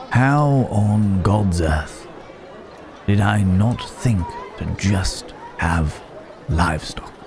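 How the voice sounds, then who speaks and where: Man acting a deep, slow, courtly troubled werewolf. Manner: old-fashioned formal phrasing, hesitant, musing. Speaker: voice actor in ASMR roleplay. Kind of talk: ASMR roleplay acting, one-sided dialogue. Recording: busy outdoor market ambience behind voice. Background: market crowd ambience.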